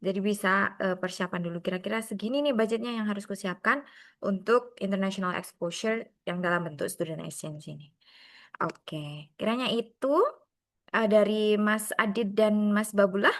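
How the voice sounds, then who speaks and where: A woman moderating an online sharing session, friendly and brisk, mixing in English phrases. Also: medium pitch.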